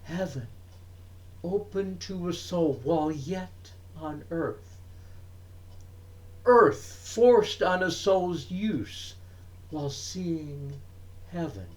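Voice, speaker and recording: A man recites poetry dramatically in a somewhat high voice. His pace is extremely slow and deliberate. He breaks the lines into short, emphatic phrases with frequent pauses. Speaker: reader of poetry.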